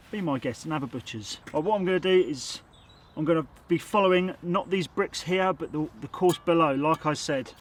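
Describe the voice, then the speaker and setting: Chatty builder guy with a sore throat, waffling away while showing how to lay bricks outside. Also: fairly high pitch. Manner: chatty, rambling, self-admittedly waffles. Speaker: bricklayer teaching on his channel. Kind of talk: casual how-to tutorial.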